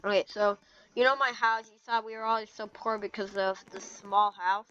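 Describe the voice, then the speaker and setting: An excitable gamer improvising a casual, dramatic video game roleplay. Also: mid-range voice, normal speed. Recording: dull lo-fi mic with clear background noise.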